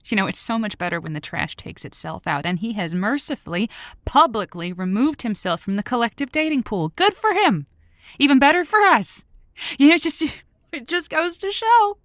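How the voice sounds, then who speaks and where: A woman's voice: a little high, animated, moderate pace, a bit bright, no echo, studio quality. A woman acting as a dauntless, flirty radio matchmaker with a chatty, sympathetic way of talking. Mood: bitter, agitated. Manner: sympathetic, chatty, addresses listeners with pet names. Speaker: late-night call-in radio host. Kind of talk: conversational radio drama acting.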